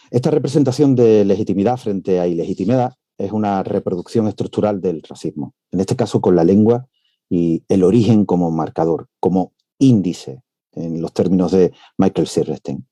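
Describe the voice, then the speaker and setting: A male professor giving an academic lecture over a video call. He speaks in a measured way, with frequent "eh" hesitations. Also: deep voice, dark tone, no room sound. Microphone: computer mic on a video call.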